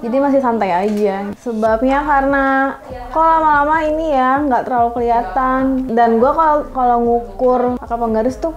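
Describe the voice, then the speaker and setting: A woman's voice: high voice, moderate pace. A young woman, speaking in a laid-back, offhand way. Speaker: employee interviewee. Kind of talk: casual interview answers.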